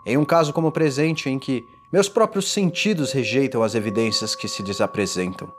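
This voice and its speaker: A man playing a tormented condemned man in a gothic horror audio drama, confessing in a formal, theatrical and deliberate delivery. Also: mid-range voice, background noise, close to an everyday voice. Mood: solemn, anguished. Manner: theatrical, deliberate, ornate diction. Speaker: narrator. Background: music.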